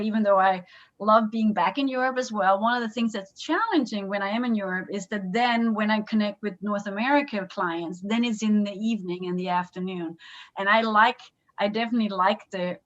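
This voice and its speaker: Woman on a dull video call. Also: mid-range voice. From Denmark. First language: Danish. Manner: friendly, conversational, asks questions. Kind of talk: casual video call chat. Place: home office. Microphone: computer on a video call.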